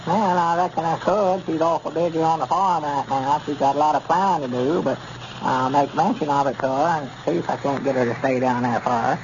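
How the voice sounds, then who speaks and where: An actor playing a simple, good-natured old country farmer with a rural Arkansas accent in a 1930s radio comedy. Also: male voice, a little high, steady tone, brisk, close to an everyday voice. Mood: candid. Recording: noisy, muffled 1930s radio recording.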